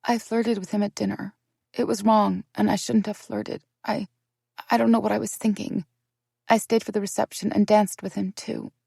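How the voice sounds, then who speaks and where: A woman's voice: medium pitch, little pitch movement, normal speed, creaky, warm tone, breathy edge, no echo, studio quality, voice pushed up. A woman reading an audiobook voices a distraught young woman confessing a painful secret, her speech pleading and breaking into tears. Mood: remorseful.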